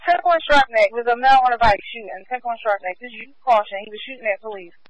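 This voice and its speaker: Brisk woman police dispatcher. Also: a little high, dull audio. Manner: brisk, repeats locations and warnings.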